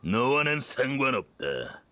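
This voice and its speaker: A man's voice: mid-range voice, big pitch swings, takes it slowly, pushed and bright, full character voice. A man voicing a cold, menacing swordsman in a video game, speaking tersely and dismissively. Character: cold, curt, menacing swordsman searching for someone.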